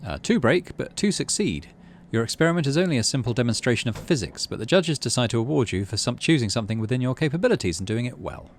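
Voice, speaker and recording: A man's voice: medium pitch, very animated, quick pace, hardly any pauses, slight background noise. A man hosting a game playthrough, reading story text aloud and thinking through his moves in a muttering way. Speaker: gaming channel host. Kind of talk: let's play commentary and read-aloud narration.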